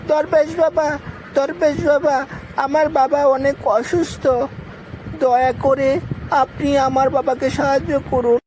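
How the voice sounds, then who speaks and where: Woman playing little boy, children's cartoon, high pitch, slow pace, pleading, brave, music behind. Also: fairly even intonation, a little mellow, a little hiss or hum, poor audio. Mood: pleading, determined.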